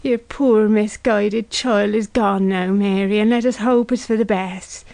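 Woman playing a pious neighbour, drawn-out lamenting. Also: medium pitch, steady tone, vocal fry, a little mellow, no room sound. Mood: gushing sympathy. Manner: sobbing, sing-song, churchy lamenting. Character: pious motherly neighbour woman, sanctimoniously effusive in sympathy. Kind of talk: literary audiobook character acting.

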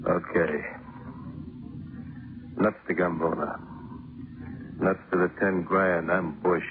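A tough, sullen mob henchman in a vintage radio crime drama. His voice is very low, terse and surly. The old recording is tinny, with faint noise behind it. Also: fairly even intonation, takes it slowly. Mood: worn down. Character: sullen, tough gangland henchman, stubborn and evasive. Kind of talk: 1950s radio drama acting.

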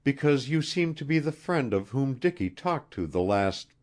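A man voicing an anxious, earnest young queen in a storybook reading, halting and pleading. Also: mid-range voice, big pitch swings, voice pushed up, natural voice.

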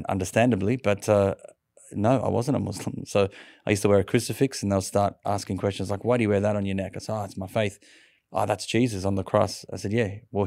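Low, gravelly Australian man. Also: a little mellow, no room sound. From Sydney, Australia. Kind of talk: conversational interview, personal storytelling.

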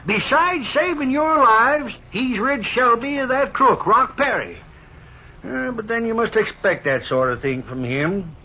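High-voiced hearty western sheriff. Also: male voice, expressive, measured pace, husky, full character voice. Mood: admiring. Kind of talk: 1950s radio western acting. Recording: dull 1950s radio recording.

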